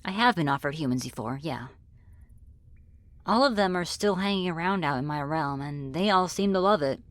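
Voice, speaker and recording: Low-voiced, gentle friendly demoness played by a woman. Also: expressive, no room sound. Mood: reassuring. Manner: gentle, reassuring, conversational with hesitant pauses. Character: friendly, flirty, apologetic female demon ruling her own realm. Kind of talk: ASMR roleplay spoken to the listener.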